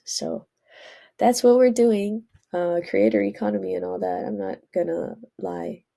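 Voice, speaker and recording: A woman's voice: medium pitch, vocal fry, mellow, husky. A woman, congested from a cold, rambling earnestly in a casual spiritual vlog monologue. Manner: rambling, earnest, self-correcting. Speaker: vlogger.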